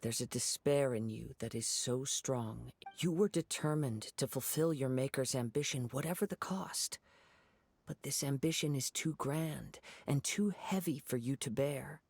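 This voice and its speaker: An actor playing a calm, perceptive young samurai in a video game, speaking softly and thoughtfully with a husky voice. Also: male voice, mid-range voice, no echo. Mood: measured, reproving. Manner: measured, thoughtful, gently reproving. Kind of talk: dramatic video game voice acting.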